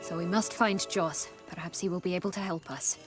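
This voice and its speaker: An actress playing a proud, bitter exiled noblewoman in a video game, with haughty, formal, measured speech. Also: lowish voice, steady tone, over music, close to an everyday voice. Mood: brisk, imperious.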